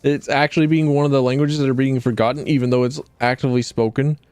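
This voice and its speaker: A man's voice: mid-range voice, steady tone, fast talker, darkish tone, smooth, dry recording, soft background music. A man streaming a video game, chatting casually in a rambling, wry way and often correcting himself. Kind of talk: casual gameplay commentary.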